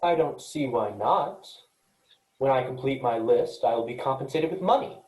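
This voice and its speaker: A man's voice: medium pitch, normal speed, darkish tone, lightly acted. A man playing an earnest, cautious, literal-minded space freighter worker, speaking precisely and matter-of-factly in a staged reading. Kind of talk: virtual staged reading of a sci-fi play. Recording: echoey room.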